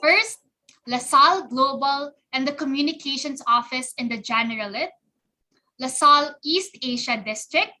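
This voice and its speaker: A young woman from the Philippines co-hosts an online event. Her voice sits high and sounds very bright. She reads scripted thanks and long lists of names in an earnest way. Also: moderate pace, rough recording. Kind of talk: scripted hosting, closing thanks.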